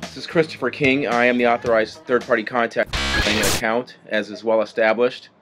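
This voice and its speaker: A man's voice: medium pitch, fairly even intonation, moderate pace, music in the background. A man making an assertive, argumentative complaint on a phone call, speaking informally and indignantly. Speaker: complaining caller, authorized third-party contact.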